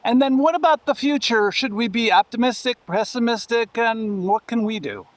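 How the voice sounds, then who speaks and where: High-pitched, halting male interviewer. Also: lively intonation, no room sound. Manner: halting, rephrasing questions as he goes. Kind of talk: casual interview questions.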